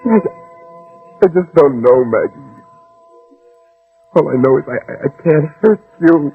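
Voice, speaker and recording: An actor playing a desperate, wavering gunman still sweet on his old girlfriend, in a 1960s radio drama, with a gravelly, raspy voice. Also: on the high side, expressive, phone-quality sound, exaggerated voice. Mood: torn, anguished. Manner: tense, wavering, halting. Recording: old band-limited radio recording, music underneath.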